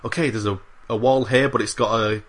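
A man co-hosting a podcast in casual chat. His voice sits a little low but rings bright and forward. He talks with enthusiasm, with frequent fillers and casual swearing. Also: steady tone, fairly quick. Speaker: podcast co-host.

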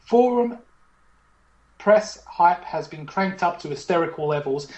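A man's voice: high-pitched, lively intonation, a little slow, a little mellow. A man voicing a cocky male game show host with a put-on Australian accent, parodying a TV quiz show in a roomy room with faint music. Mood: dramatic reading. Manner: cocky, blustering, showy. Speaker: podcast host.

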